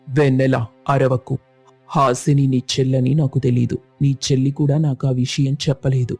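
A man voicing a heartless, harsh husband in an animated moral story, curt and commanding. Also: mid-range voice, moderate pace, vocal fry, soft background music. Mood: angry, cold. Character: harsh, heartless husband rejecting his wife.

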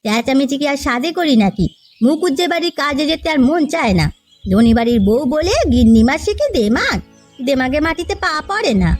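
A woman's voice: high voice, animated, fairly quick, faint music behind. A woman voicing a hardworking village wife and mother in a cartoon story, no-nonsense and complaining. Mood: grumbling.

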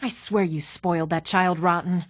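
A woman's voice: lowish voice, steady tone, moderate pace, no echo, clean studio sound, lightly acted. A woman as a plain-spoken fantasy village woman. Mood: conversational, firm. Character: plain-spoken, hardworking village woman, firm motherly townswoman. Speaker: video game voice actor. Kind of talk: scripted fantasy game dialogue.

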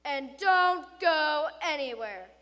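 A woman's voice: high voice, animated, slow pace, full character voice. A woman voicing a huge, menacing female monster teacher who barks out orders in a stage recitation. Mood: menacing, commanding. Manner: barking loud orders. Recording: reverberant hall.

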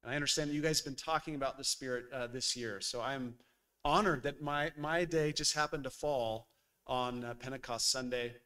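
Bright-voiced pastor preaching conversationally. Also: male voice, medium pitch, a little fast, smooth. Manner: lively and conversational, with frequent uh fillers. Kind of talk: sermon. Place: church sanctuary at a pulpit. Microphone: boom mic on a stand at the pulpit.

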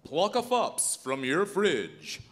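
A man announcing a mock radio sponsor message with loud, theatrical projection. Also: on the high side, sing-song, slow. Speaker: show announcer. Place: stage before a live audience. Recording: live hall with audience noise.

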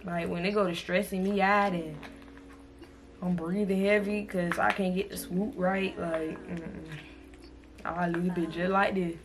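A woman's voice: on the low side, flat delivery, a little slow. Chatty young woman vlogging, music under. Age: 20s. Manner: chatty, playful, talks to viewers as "y'all". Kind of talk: casual chat to camera. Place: bedroom, indoors. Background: music playing.

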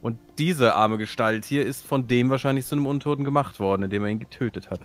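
A man's voice: medium pitch, quick pace. A man playing a tabletop role-playing game, mixing casual chat with in-character lines.

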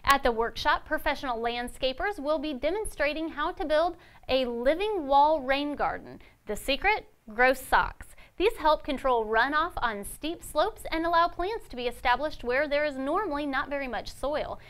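A young woman reads local news and event listings from a studio desk. Her voice sits high. She keeps a crisp, steady newsreader pace. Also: moderate pace. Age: young adult. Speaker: news anchor.

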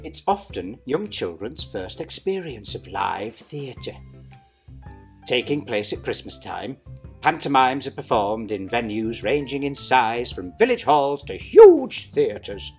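A middle-aged man narrates with the playful, exaggerated flair of a pantomime dame, his pitch leaping and swooping all over the place. He speaks from a small dressing room at desk distance from the camera, with faint music behind him. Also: big pitch swings, slow, gravelly, darkish tone. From Britain. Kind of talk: scripted explainer narration.

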